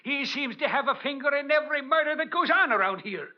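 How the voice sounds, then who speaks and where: An actor playing a gruff, sarcastic, hot-tempered police lieutenant who talks in rough, ungrammatical cop slang in a 1940s radio drama. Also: male voice, extremely high pitch, expressive, fast. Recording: muffled old radio broadcast.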